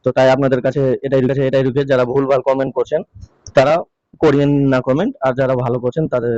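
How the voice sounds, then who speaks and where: A man's voice: medium pitch, little pitch movement, rapid-fire, a little mellow, no room sound. A man hosting a casual vlog update, talking in a repetitive, pleading way. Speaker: video host. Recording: dull, lo-fi close dry sound.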